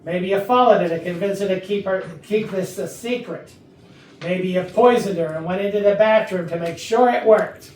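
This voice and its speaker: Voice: mid-range voice, normal speed, a little mellow, close to an everyday voice. An actor playing a blunt detective who presses suspects with authority in a staged murder mystery comedy. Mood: accusing. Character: blunt, probing detective leading a murder interrogation. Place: rehearsal room for a staged reading. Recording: echoey room, distant mic, background noise.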